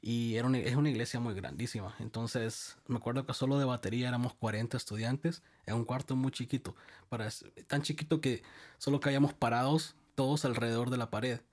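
Relaxed man from El Salvador telling his story. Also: medium pitch, fairly quick. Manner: relaxed, rambling, many restarts. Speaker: guest, music school director. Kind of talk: casual interview storytelling.

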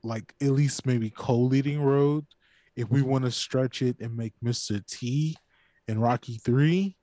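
A man co-hosting a podcast in a blunt, emphatic, profane manner. He speaks at a middle pitch. The recording is dry and close. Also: unhurried, dark tone, dry recording. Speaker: podcast co-host. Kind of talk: casual chat.

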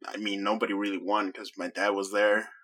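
A man's voice: lowish voice, level tone, fairly quick, sharp tone. A man co-hosting a podcast, chatting in a laid-back way and swearing casually. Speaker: podcast co-host. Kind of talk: casual chat.

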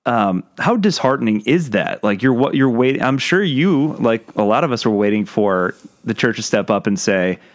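A man's voice: medium pitch, animated, normal speed, few pauses, no echo, clean studio sound. A man hosting a podcast interview, encouraging his guest with frequent short affirmations. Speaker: podcast host.

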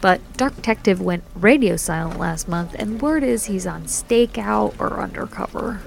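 An actress playing a serious woman who gives the team intelligence briefings in a measured way. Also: mid-range voice, animated, vocal fry. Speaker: briefing officer Angie.

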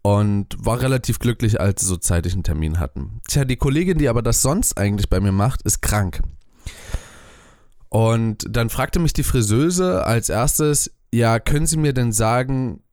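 A man's voice: medium pitch, lively intonation, normal speed, a little mellow, no room sound, clean studio sound. A man co-hosting a casual podcast chat, rambling and hesitant with many fillers, recorded at home. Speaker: podcast co-host. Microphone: webcam and desk mic at home.

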